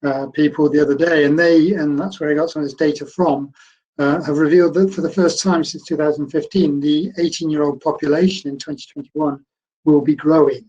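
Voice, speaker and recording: An older man, a medical school professor, giving a conversational online lecture in a croaky voice, explaining things in an encouraging way. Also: on the high side, steady tone, fairly quick, darkish tone, lo-fi sound.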